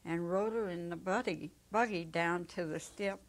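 An elderly woman reading the part of a gentle, devout old woman in a dramatic story, tender and halting. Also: on the low side, close to an everyday voice. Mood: tender, grieving. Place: small indoor set. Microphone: lapel.

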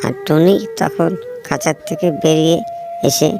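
A man's voice: fairly high pitch, steady tone, normal speed, gravelly. A man narrating a scripted children's fable as the storyteller. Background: background music.

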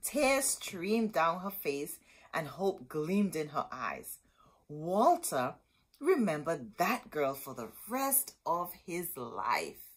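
A middle-aged woman hosting a storytime, reading a picture book aloud expressively with dramatic, deliberate phrasing and asides to her listeners. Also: low voice, very animated, breathy edge. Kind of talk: expressive children's read-aloud. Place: small indoor room with bookshelves.

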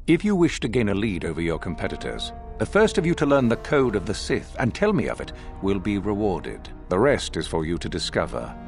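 Sinister old sith master for a game, low voice that rises and falls a lot, pompous speechifying. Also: male voice, wildly swooping pitch, fairly quick, few pauses, faint music behind. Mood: solemn commanding. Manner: theatrical, grandiose, condescending oratory. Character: commanding older male Sith master, sinister, solemn and goading. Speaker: academy master addressing recruits. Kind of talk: dramatic scripted video game acting.

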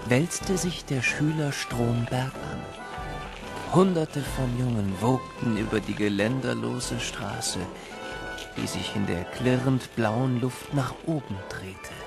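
A man playing a wistful narrator who tells his boyhood tale in measured, literary narration. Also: medium pitch, gravelly. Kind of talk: scripted narration. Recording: loud music behind.

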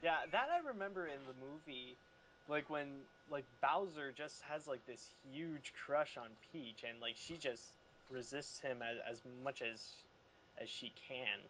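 A man's voice: mid-range voice, animated, a little slow, sharp tone, poor audio. A man chatting casually as a panelist on a podcast. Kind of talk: casual chat. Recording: noticeable background noise.

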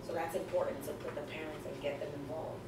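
A woman's voice: sits low, level tone, fast. A woman in the audience of a conference, commenting earnestly and telling a personal story. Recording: echoey room from far off, noisy.

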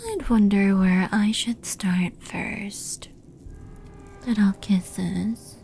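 A woman voicing a seductive, menacing female demon who toys with her prey in a teasing, breathy ASMR roleplay whisper. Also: mid-range voice, fairly even intonation, drawn-out words, a little mellow, a little hiss or hum. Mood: predatory, seductive.

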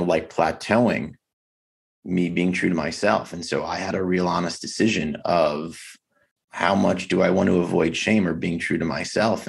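Low gravelly guy on a video call, kinda husky, lots of um and like, thinking out loud. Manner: reflective, halting, many fillers and restarts. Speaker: podcast guest. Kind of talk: candid conversation.

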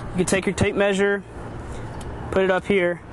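A man's voice: high voice, completely flat delivery, normal speed. A young man giving a practical, informal how-to demonstration on a rooftop as he works with his hands, recorded on a lapel mic. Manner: practical, informal, talks while working with hands. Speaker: HVAC coil technician. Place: outdoor rooftop by an AC unit. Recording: loud wind and traffic noise.

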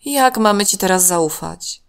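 A woman's voice: mid-range voice, animated, measured pace, clean tone. A woman voicing a principled, reproachful young girl in a children's story, firm and earnest.

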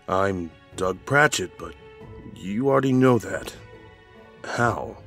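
Man as a wary irritable husband, lowish voice, terse, guarded, horror audio drama, faint music. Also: expressive, slow pace, a bit bright, full character voice.

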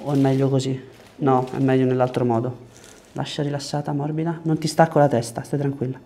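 A man's voice: mid-range voice, flat delivery, a little fast, mellow. A man in his thirties, a chiropractor giving short directions and explanations to a patient during treatment. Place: small indoor treatment room. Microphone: lapel mic. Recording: clear background room noise.

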